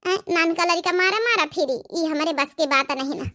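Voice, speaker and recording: Young cartoon sister acted by a woman, Awadhi accent, high childlike pitch, forceful, stubborn, self-sacrificing. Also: fairly quick. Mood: firm, defiant. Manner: firm, argumentative. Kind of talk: amateur cartoon story dialogue.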